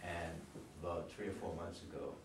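Older male playwright in casual Q&A, deep voice, roomy distant sound. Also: fairly even intonation, normal speed. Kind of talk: informal audience question-and-answer. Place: meeting room, standing by a table. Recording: echoey room, distant camera mic, noisy.

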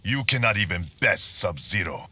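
A man's voice: on the low side, expressive, normal speed, bright, forceful tone, slight background noise. A man playing a stern, vengeful ninja warrior in a video game, grave and formal. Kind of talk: video game character dialogue.

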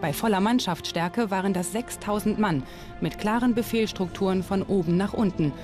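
A woman presenting a history documentary in scripted, clearly articulated and explanatory narration. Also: mid-range voice, moderate pace, music in the background. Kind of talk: scripted documentary narration with on-location interview questions.

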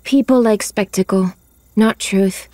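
An actress playing a sweet, earnest young woman hero in an animated game, polite and expressive. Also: mid-range voice, a little slow, no echo, close to an everyday voice. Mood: dismayed. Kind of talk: animated video game acting.

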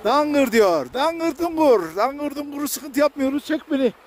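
A man with an extremely high voice presents a casual vlog while active outdoors. He is colloquial, jokey and prone to exclaiming. A faint noise of the open air sits behind his words. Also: expressive, unhurried, a little mellow. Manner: active, talking while snowboarding. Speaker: vlogger presenting a ski slope. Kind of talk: casual vlog commentary to viewers and companions. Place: outdoors on a steep ski slope.

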